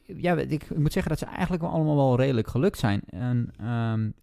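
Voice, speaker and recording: A man chatting casually about books as a podcast host, speaking haltingly and hedging as he thinks aloud. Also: medium pitch, expressive, gravelly, mellow, no room sound, clean studio sound. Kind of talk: casual book discussion.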